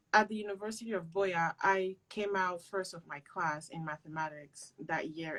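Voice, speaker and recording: A woman's voice: on the low side, fairly bright tone, poor audio. A young woman from Cameroon whose first language is not English, giving earnest and direct advice as an interview guest. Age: young adult. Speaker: interview guest, PhD student.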